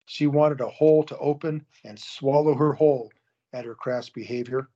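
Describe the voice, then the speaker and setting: A man giving steady scripted narration for an audio drama. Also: medium pitch, normal speed. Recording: dull lo-fi recording.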